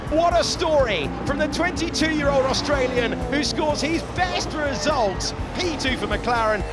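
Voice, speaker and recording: A man giving excited live motor racing commentary in an emphatic, dramatic manner. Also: very high-pitched, a little slow, over loud music.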